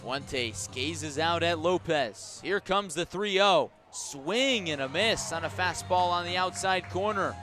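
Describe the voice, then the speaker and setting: A man doing live radio play-by-play of a baseball game, with a measured call that rises sharply on big plays. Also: fairly high pitch, animated, sharp tone, faint music behind.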